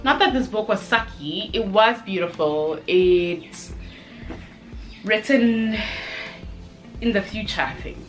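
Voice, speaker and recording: A woman giving a casual, chatty review to camera as a video host, expressive and emphatic, with short exclamations and repeated phrases. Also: mid-range voice, slow pace, long pauses, faint music behind, poor audio.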